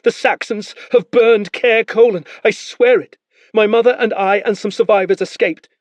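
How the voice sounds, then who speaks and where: A man's voice: steady tone, moderate pace, dry recording, close to an everyday voice. A man voicing a proud, defiant young boy who insists he is a prince. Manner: shrill, yelling.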